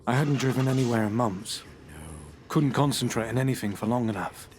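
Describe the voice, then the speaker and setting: An actor playing a troubled, unwell man who confesses to the police in a halting, hushed voice with nervous laughs. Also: mid-range voice, slight background noise, close to an everyday voice. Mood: haunted. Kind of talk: audio horror drama.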